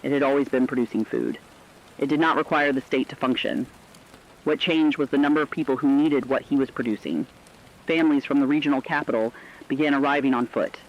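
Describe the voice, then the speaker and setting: A man's voice: medium pitch, fairly quick. Man reporting in dull lo-fi radio sound. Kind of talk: scripted narrative news reporting.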